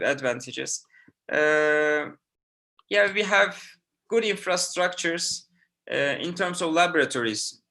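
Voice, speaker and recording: Turkish-accented professor, bright halting voice. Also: male voice, fairly high pitch, gravelly. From Turkey. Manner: halting, many "uh" fillers and restarts. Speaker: university professor. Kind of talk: online info session answering student questions.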